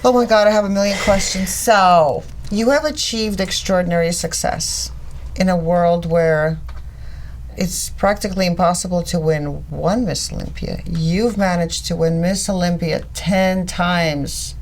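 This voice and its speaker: A woman's voice: lowish voice, a little slow, a little mellow, rough recording. A woman hosting a podcast, interviewing conversationally in an emphatic, effusive manner. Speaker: podcast host.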